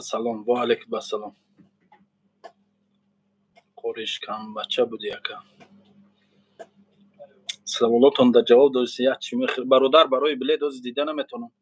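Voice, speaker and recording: Husky man chatting live with viewers. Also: mid-range voice, no room sound, low-quality recording. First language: Tajik. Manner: animated, laughs often, switches between languages. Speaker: streamer answering viewers. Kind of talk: casual live chat.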